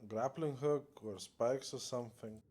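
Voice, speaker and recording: A man's voice: medium pitch, unhurried, a little mellow, a little hiss or hum. A young man giving casual, jokey, slangy commentary as he plays a video game. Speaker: gamer commenting on gameplay.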